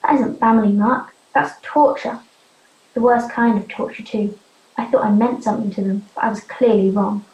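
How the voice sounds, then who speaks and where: A woman's voice: fairly high pitch, steady tone, normal speed, a little mellow, natural voice. A young woman in a youth theatre play acting a defiant, hurt teenage runaway girl, earnest and insistent. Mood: bitter, hurt. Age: young adult. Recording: muffled, roomy amateur recording.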